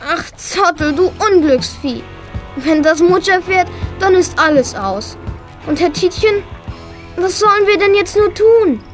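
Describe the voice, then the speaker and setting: A young female performer playing a plucky, lively girl in a children's audio drama, expressive and youthful. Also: very high-pitched, a little slow, music in the background. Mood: exasperated.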